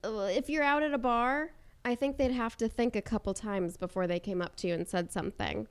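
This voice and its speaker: A woman's voice: on the high side, a little fast, clean tone. Upbeat woman podcast co-host. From Chicago. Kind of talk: casual chat.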